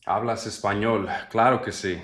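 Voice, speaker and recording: A young man with a low, deep voice runs a live trading stream. He talks in a loose, laid-back way to his chat and thinks out loud at his desk mic, often trailing off into a soft mutter. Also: fairly even intonation, normal speed. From Mexican family. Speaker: livestream host trading futures. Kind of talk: casual live trading commentary.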